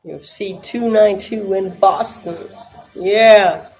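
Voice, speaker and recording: A woman's voice: mid-range voice, slow, darkish tone, faint music behind, low-quality recording. Young German-accented woman, casual stream host, loose and chatty, lots of fillers. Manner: chatty, many fillers, answers chat. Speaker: livestream watch-party host. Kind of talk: casual livestream chat.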